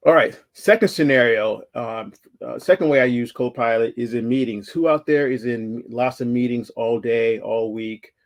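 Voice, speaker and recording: A man's voice: mid-range voice, moderate pace. A man giving a conversational virtual conference talk over slides, with frequent fillers and restarts. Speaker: conference speaker.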